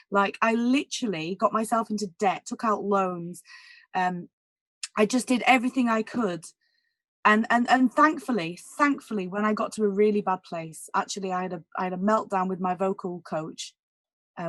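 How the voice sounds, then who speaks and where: Woman, jazz singer, naturally husky voice, candid and chatty with fillers, dry close sound. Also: medium pitch, no echo. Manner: candid and emotional, many "like" and "you know" fillers. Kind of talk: casual interview conversation.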